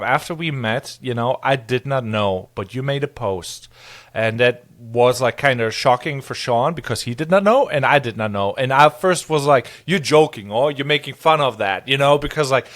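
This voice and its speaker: A man's voice: medium pitch, a bit bright. A man co-hosting a podcast, asking questions in a loose, rambling way with many fillers. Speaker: podcast co-host. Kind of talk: casual conversational interview.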